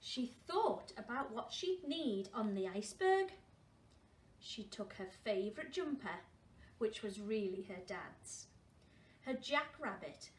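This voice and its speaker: A woman reading a story aloud to young children as a school storyteller, adding questioning asides to her listeners. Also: mid-range voice. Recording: roomy, distant mic, faint noise.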